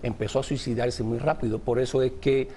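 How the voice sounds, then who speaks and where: A man's voice: mid-range voice, fairly even intonation, fairly quick, dark tone, a little hiss or hum. A man from Cuba, an author and journalist, answering interview questions in a reflective, discursive way.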